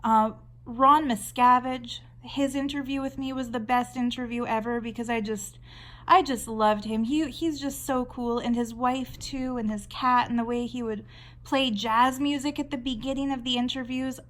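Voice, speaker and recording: A woman's voice: a little high, steady tone, moderate pace, fairly bright tone. A Canadian woman talking casually while she draws, rambling and chatty with frequent restarts. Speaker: artist vlogger. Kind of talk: casual chat while drawing.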